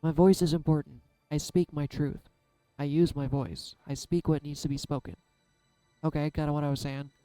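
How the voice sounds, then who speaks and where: Man, tarot reader, soft-spoken, smooth dark tone, candid vlog delivery, faint music underneath. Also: fairly high pitch, fairly even intonation, normal speed, no room sound, rough recording. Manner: candid, self-deprecating, musing aloud. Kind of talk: casual reflective vlog.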